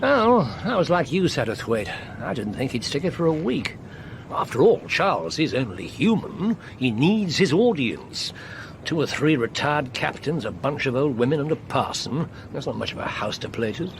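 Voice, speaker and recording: An actor playing a worldly middle-aged English doctor, wry and urbane, in a radio drama. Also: male voice, mid-range voice, big pitch swings, normal speed. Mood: amused. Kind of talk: British radio drama acting. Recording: faintly noisy radio play recording.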